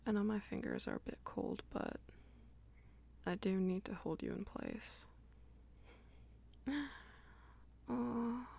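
A woman playing a dominant, teasing female vampire lover in an intimate ASMR roleplay, coaxing and breathy, often dropping toward a whisper. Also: mid-range voice, a little mellow, heavily acted. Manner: slow, coaxing, teasing pet names.

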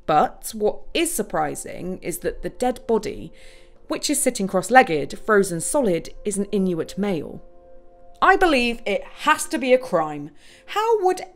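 An actress playing a plucky young bush pilot, delivering a confiding dramatic monologue in an audio play. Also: female voice, mid-range voice, sing-song, measured pace, soft background music. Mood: reflective. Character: plucky young female bush pilot narrating her story.

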